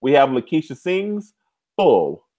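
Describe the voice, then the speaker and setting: A man's voice: mid-range voice, wildly swooping pitch, takes it slowly, warm tone. A man co-hosting a casual live recap show over a video call, emotional and playful. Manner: emotional, playful, sings along.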